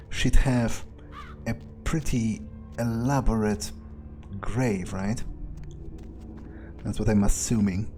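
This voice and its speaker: Slow-talking, dark-voiced man musing aloud. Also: on the low side. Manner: halting, musing, matter-of-fact, casually profane. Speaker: video game player roleplaying his character in a playthrough. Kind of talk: in-character musing aloud, thinking through events.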